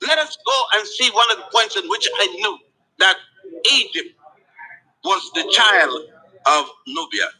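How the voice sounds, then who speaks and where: Emphatic high-pitched male lecturer. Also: bright, forceful tone, low-quality recording. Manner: deliberate, emphatic, pitch drops at phrase ends. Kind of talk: spoken lecture.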